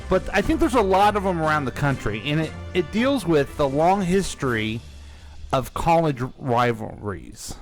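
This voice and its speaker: A man's voice: a little high, big pitch swings, normal speed, soft background music. A man hosting a local radio history show in casual, playful chat, leading the questions. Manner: chatty, playful, leads the questions. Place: radio studio. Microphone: studio microphone.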